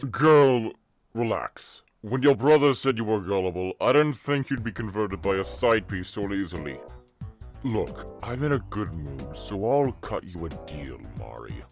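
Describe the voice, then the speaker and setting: A man's voice: on the low side, sing-song, a little slow. A man playing a suave, amused devil who teases and condescends in a drawl for a story reading. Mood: sassy, condescending. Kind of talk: character acting in a fanfiction reading. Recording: music bed under the voice.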